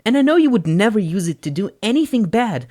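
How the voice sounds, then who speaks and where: A man voicing a kind, frank teenage girl with an earnest, deliberate way of talking in an audio story. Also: high voice, big pitch swings, a little mellow, pitched above the performer's own voice. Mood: sincere, serious.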